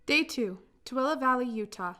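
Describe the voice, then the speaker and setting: A woman's voice: high voice, animated, moderate pace, pushed and bright, faint music behind. A woman reading scripted announcements and narration for a radio play.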